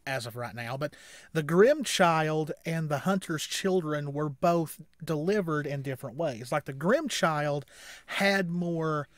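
Higher-voiced man chatting in a dry room. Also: a little slow, dry recording. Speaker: reaction show co-host. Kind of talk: casual reaction commentary. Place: small indoor room at home.

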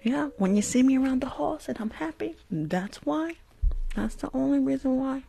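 A woman's voice: sits high, lively intonation, moderate pace, vocal fry, dark tone, breathy edge, full character voice. A woman voicing a rude, impatient, gum-chewing New York school nurse in an ASMR roleplay, repeating herself in a brusque, mock-soothing way close to the microphone. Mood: sly, weary. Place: small bedroom. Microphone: close furry-covered condenser microphone.

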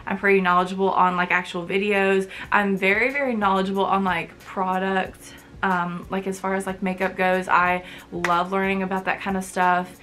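A woman's voice: medium pitch, little pitch movement, moderate pace, hardly any pauses, a bit bright. A woman of twenty chatting casually to camera from her college bedroom, frank and full of fillers. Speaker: vlogger.

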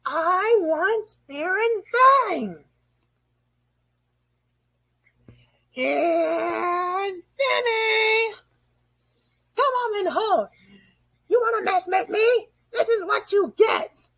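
Manic little cartoon bully creature, super high shrill voice, drags out his taunts theatrically. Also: animated, extremely slow, pushed and bright, no echo, full character voice. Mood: angry. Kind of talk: cartoon villain voice reel.

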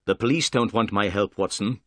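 A man voicing a calm, polite private detective in an audiobook, with an assured, authoritative manner. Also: fairly low pitch, a little fast, no echo, clean studio sound, lightly acted. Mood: decisive.

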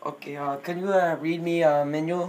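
A man's voice: on the high side, steady tone, a little fast, a little mellow, close to an everyday voice. A young man playing a polite, earnest, apologetic Indian caller who hesitates with many ums. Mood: earnest, hesitant. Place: small bedroom. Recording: noisy amateur phone-video recording.

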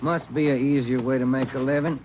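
An actor playing a wry, plain-spoken police detective sidekick in old radio drama style, on a vintage radio recording. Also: male voice, medium pitch, steady tone, a little fast, natural voice. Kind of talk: 1940s-50s radio acting. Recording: dull, noisy old-time radio recording.

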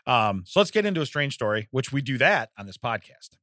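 A man co-hosting a comedy podcast, rambling through news stories and breaking into laughter as he reads. His voice sits at an ordinary middle pitch. The sound is close and dry, with no room around it. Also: very animated, fairly quick, a bit bright, no room sound. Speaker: podcast co-host. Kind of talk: casual comedic podcast chat. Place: podcast studio. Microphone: studio microphone.

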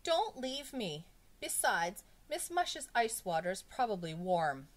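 A woman voicing a whiny, panicky young schoolboy from a children's storybook, exclaiming and pleading in drawn-out cries. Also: lowish voice, big pitch swings.

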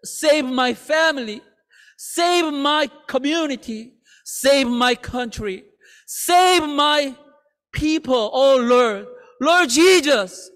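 Slow earnest pastor in a reverberant hall. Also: male voice, extremely high pitch, fairly bright tone. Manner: slow, earnest, with halting restarts. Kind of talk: sermon. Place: church sanctuary.

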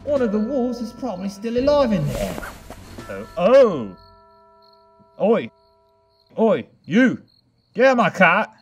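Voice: mid-range voice, huge pitch swings, slow, long pauses. An actor playing a sardonic, self-serving young male traveling merchant with a dry, sarcastic manner in a comedic anime parody dub. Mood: alarmed. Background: music.

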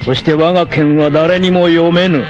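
A man playing a cocky, free-spirited, fearless martial-arts warrior in a dramatic 1980s anime, with theatrical, rough swagger. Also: fairly high pitch, steady tone, normal speed, a little mellow, muffled recording, faint music behind, natural voice. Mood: confident, defiant.

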